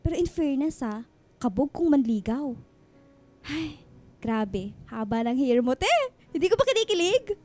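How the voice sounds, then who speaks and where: Radio drama matchmaker friend, Filipina in her thirties: high, mellow voice, gushing, slangy, bantering. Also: very animated, measured pace, long pauses. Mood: gushing, nostalgic. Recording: music bed under the dialogue.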